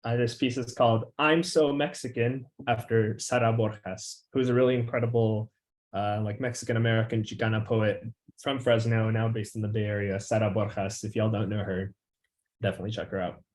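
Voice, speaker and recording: A young man, a featured poet, who talks in a relaxed, loose way with plenty of filler words and reads his poems with an emphatic, rising refrain. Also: fairly low pitch, fairly quick. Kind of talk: casual chat and poetry reading.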